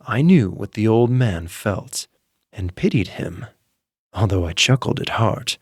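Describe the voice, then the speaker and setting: A male narrator reads a grim tale at an unhurried, deliberate pace, his fairly low voice sweeping widely in pitch. He swings from hushed murmurs to sharp, intense peaks. The sound is close and dry, with no room around it. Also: animated, breathy edge, dry recording. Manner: slow, theatrical, swings from hushed murmurs to sudden intense outbursts. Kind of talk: dramatic audiobook reading for sleep.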